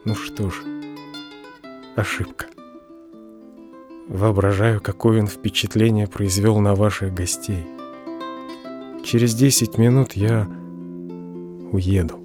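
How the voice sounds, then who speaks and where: A low-voiced, humble clerk in love, played by an actor, speaks slowly and earnestly in an audio play. His delivery is subdued, with clear guitar music behind him. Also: a little mellow, close to an everyday voice. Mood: ashamed, resigned. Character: lovelorn, humble male clerk reciting his farewell letter. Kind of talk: dramatic audio play monologue. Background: plucked guitar music.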